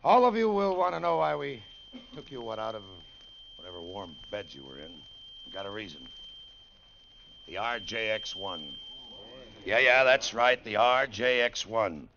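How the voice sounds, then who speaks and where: Tough old radio colonel, gravelly and sharp, clipped orders, very authoritative. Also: male voice, fairly low pitch, animated, moderate pace, pauses often, slight background noise, heavily acted. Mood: commanding, emphatic. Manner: authoritative, clipped briefing with emphatic repeats. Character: gruff commanding middle-aged Air Force colonel, test-flight boss. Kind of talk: old-time radio drama acting. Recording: muffled vintage radio recording.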